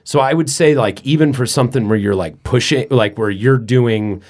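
A man's voice: medium pitch, normal speed, darkish tone. A man hosting a podcast in casual chat, talkative and joking, with frequent restarts. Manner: chatty, joking, many restarts.

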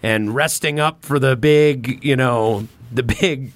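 A man's voice: mid-range voice, slow, no room sound. A man hosting a podcast in casual conversation, rambling along with informal slang and swearing. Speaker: podcast host.

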